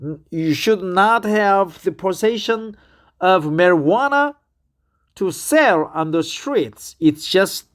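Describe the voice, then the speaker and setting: A man's voice: high-pitched, animated, slow pace. A man with a Korean accent, a native Korean speaker, reading news aloud to practice English, stopping to comment and repeating phrases over and over. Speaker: English learner.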